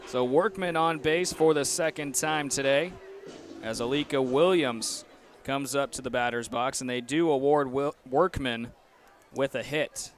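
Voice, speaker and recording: A man's voice: medium pitch, measured pace. A young American man doing live play-by-play baseball commentary on a headset mic from a ballpark. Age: young adult.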